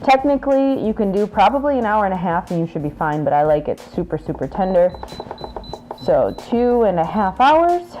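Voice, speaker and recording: A woman's voice: mid-range voice, big pitch swings, normal speed, warm tone, low-quality recording. A woman in her thirties whose first language is Spanish, chatting playfully to viewers as she cooks in her home kitchen. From Puerto Rican and Mexican heritage. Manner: chatty, playful, laughs often, talks to viewers. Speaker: cooking vlogger. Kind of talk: casual cooking demo to camera.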